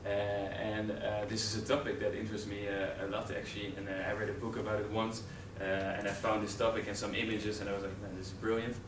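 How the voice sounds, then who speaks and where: A young man with a Dutch accent giving a live presentation to a training group, hesitant, with many fillers and restarts. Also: on the low side. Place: training room with an audience. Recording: roomy, distant camera audio with noise.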